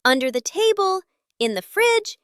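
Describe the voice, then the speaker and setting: A woman's voice: very high-pitched, big pitch swings, unhurried, a bit bright, no room sound, studio quality. A woman reading scripted children's story narration in short, simple storybook sentences.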